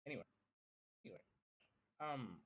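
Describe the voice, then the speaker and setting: A man's voice: medium pitch, lively intonation, normal speed, fairly bright tone. A man in his early twenties from Sri Lanka who later moved to Australia, chatting casually to viewers in a hesitant, rambling way with repeated phrases. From Sri Lanka, then Kuwait and Australia. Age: early 20s. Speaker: streamer introducing himself.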